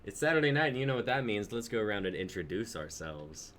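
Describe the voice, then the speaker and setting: Young man, low voice, casual stream host, background noise. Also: very animated, quick pace, a bit bright. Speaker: host of a live-streamed reading show. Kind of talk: casual livestream hosting.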